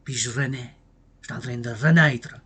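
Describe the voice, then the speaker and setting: A man's voice: mid-range voice, moderate pace, pushed and bright, slight background noise. A man from Quebec with a Québécois accent, rambling on a livestream in French and English, repeating himself and breaking into song. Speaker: vlogger. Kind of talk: bilingual rambling livestream monologue.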